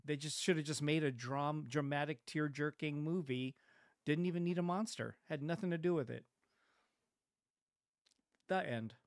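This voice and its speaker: A man's voice: on the high side, normal speed, pauses often, no echo, clean studio sound. A man co-hosting a podcast, giving blunt, joking opinions on films in casual chat. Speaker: podcast co-host.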